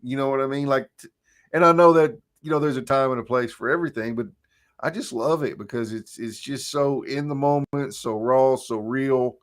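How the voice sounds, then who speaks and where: Croaky-voiced man, casual chat. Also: mid-range voice, normal speed. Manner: relaxed, chatty, laughs often. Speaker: guest, marketing coach.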